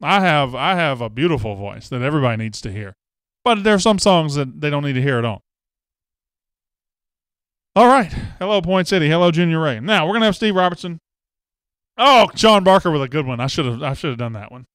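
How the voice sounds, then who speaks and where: A man's voice: fairly high pitch, lively intonation, a little fast, fairly bright tone, clear, resonant tone, dry recording, clean studio sound. A man hosting a casual live show, chatting and reading viewer comments with self-mocking jokes. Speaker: host. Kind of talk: casual live-stream chat.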